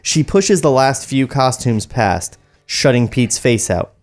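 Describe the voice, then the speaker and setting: A man's voice: medium pitch, lively intonation, measured pace. A man reading screenplay stage directions at a podcast table read, with joking asides. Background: background music.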